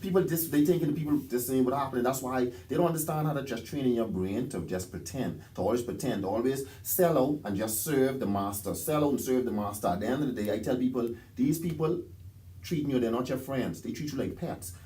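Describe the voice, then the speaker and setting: A man's voice: mid-range voice, fast, mellow. A Bahamian man, a taxi driver, talking on a podcast in an emphatic, preachy rant, often acting out what others say. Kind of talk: casual podcast chat.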